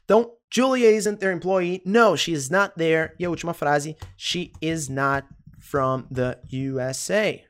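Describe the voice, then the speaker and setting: A teacher, talking to camera in an English lesson and repeating words slowly to drill pronunciation. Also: medium pitch. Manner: explains in quick asides, then stresses English words. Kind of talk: online English lesson for Portuguese speakers.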